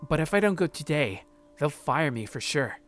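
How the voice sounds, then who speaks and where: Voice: medium pitch, big pitch swings, moderate pace, faint music behind, lightly acted. A performer voicing a meek young man from a visual novel, hesitant and stammering. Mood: anxious, hesitant.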